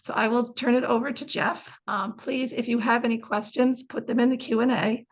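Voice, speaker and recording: A woman's voice: a little high, fairly even intonation, normal speed. A woman with an American accent, a library director hosting a webinar and introducing the speaker, casual with frequent ums.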